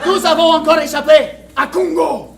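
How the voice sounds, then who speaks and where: A man with a Quebec French accent playing an anime-style sensei in a live improv scene, theatrical and shouting battle cries. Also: extremely high pitch, fairly even intonation, moderate pace, background noise. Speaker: improv player. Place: improv match venue with audience. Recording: from far off, echoey stage with crowd.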